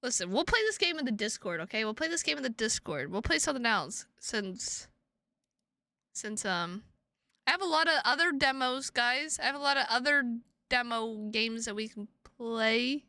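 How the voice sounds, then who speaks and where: A woman live streaming games, chatting casually with viewers in an excitable, sweary way and repeating her phrases for emphasis. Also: a little high, moderate pace, no room sound, studio quality. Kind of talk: casual livestream chat with viewers.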